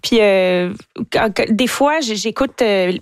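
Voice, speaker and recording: A young woman with a Québécois accent, a singer and TV host answering interview questions enthusiastically, talking fast and restarting words often. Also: medium pitch, clear, resonant tone, dry recording, clean studio sound. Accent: Québécois French. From Quebec. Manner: enthusiastic, chatty, many restarts and stammers.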